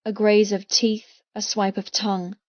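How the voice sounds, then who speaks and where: A female audiobook narrator with a medium-pitched voice reads first-person fiction expressively and intensely. The recording is very dry and slightly muffled, with no room around the voice. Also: little pitch movement, measured pace, a little mellow, no echo. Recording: slightly muffled, dry close studio sound.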